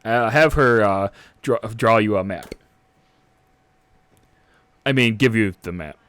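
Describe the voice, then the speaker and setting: A man's voice: on the low side, slow pace, fairly bright tone, clear, resonant tone, no room sound. A man playing a hesitant captain who rambles and restarts his sentences. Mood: flustered. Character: flustered, hesitant male captain.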